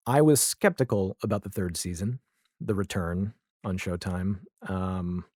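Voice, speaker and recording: A man's voice: sits low, unhurried, a little mellow, no room sound, studio quality. A man talking casually as a podcast guest, retelling things in an opinionated way with emphatic asides and mimicked quotes. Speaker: podcast guest, audio drama creator.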